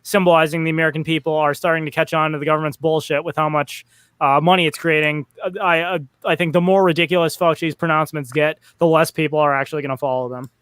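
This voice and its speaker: A man's voice: on the high side, steady tone, quick pace, a bit bright, smooth, no echo. A man in a casual political podcast chat who stammers through restarts and talks wryly.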